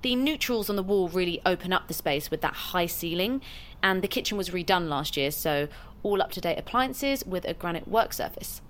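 A fairly low-voiced, self-effacing woman, played by an actress in an audio drama. She is hesitant and flustered, often correcting herself and eager to please. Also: expressive, moderate pace, natural voice. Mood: nervously formal. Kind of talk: naturalistic audio drama acting.

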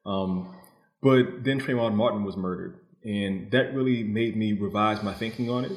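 A man from New Orleans speaking as a guest novelist, explaining his thinking in a measured, reflective way, with a roomy echo on his voice. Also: fairly low pitch. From New Orleans, United States. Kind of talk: reflective interview conversation. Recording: roomy, echoing sound.

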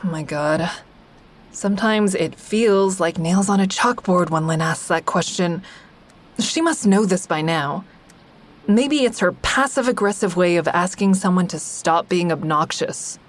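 A woman's voice: fairly low pitch, expressive, unhurried. A woman acting as the wry, observant first-person narrator of an audio drama, a member of a support group. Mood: wry, uneasy. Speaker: narrator and character.

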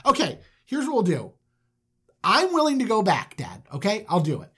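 Performer as flustered grown son, high and pleading, slangy comic storytelling. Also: wildly swooping pitch, normal speed, fairly bright tone, slightly rough, exaggerated voice. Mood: resolved. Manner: hesitant, slangy, rambling.

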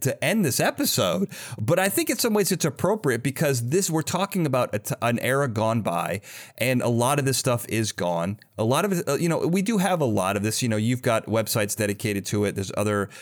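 A man hosting a casual interview podcast, speaking earnestly and haltingly, often rephrasing as he goes. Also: medium pitch, big pitch swings. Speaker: podcast host.

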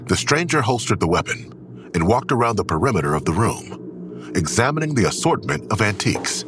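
An actor narrating a dark suspense thriller in a scripted audio drama. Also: male voice, fairly low pitch, big pitch swings, normal speed, noisy background. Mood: suspenseful.

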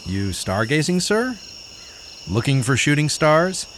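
Deferential underling, high voice, slow pace, awkward, chatty, audio drama, crickets in noisy night air. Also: male voice, big pitch swings, a bit bright, full character voice. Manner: deferential, hesitant, rambling. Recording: noisy night outdoors ambience, chirping crickets.

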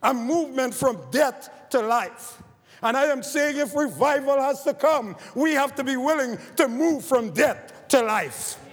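A man from Barbados with a Barbadian accent, preaching a sermon, moving from quiet scripture reading to fervent exhortation. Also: very high-pitched, animated, unhurried, husky. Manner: reads scripture quietly, then rises to fervent shouted preaching. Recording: long hall reverb.

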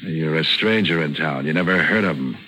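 A man playing a wry, deadpan, wisecracking hard-boiled detective in a 1940s radio noir drama. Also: very deep voice, fairly quick. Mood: dry, probing. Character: hard-boiled, wry male detective narrating his case. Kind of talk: 1940s radio noir acting and first-person narration. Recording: old 1940s radio recording, music behind.

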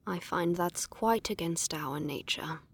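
Woman acting wary formal writer, audio drama; slow, gravelly delivery, faint noise behind. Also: fairly low pitch.

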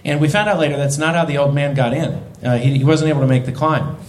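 Man podcast host, animated storyteller, slightly high voice, echoey room. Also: fast. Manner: animated storyteller, laughs often, many fillers. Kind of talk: casual storytelling.

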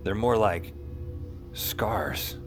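An actor playing a world-weary detective hero, narrating a hard-boiled supernatural audio drama in a wry, confiding way. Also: male voice, fairly low pitch, extremely slow. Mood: wry, brooding. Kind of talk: noir-style audio drama narration and dialogue. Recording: music under the voice. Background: music.

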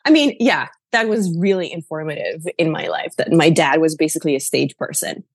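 A woman's voice: deep voice, expressive, a little fast, creaky, slightly rough, no room sound, studio quality. A middle-aged woman from Slovenia with a Slovenian accent, speaking conversationally as an interview guest. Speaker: interview guest, actor and playwright.

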